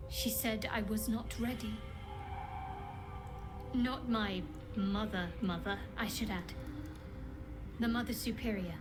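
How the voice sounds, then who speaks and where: An actress playing a guarded, devout young woman cleric of a dark goddess in a video game, speaking in an earnest, measured, often hushed way. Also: fairly high pitch, natural voice. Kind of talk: dramatic video game dialogue. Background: game music.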